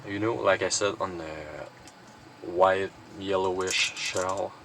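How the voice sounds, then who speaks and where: A man's voice: low-pitched, level tone, slow pace, fairly bright tone, slight background noise. A man presenting his collection in a casual, unscripted show-and-tell, rambling and hesitant with lots of filler words.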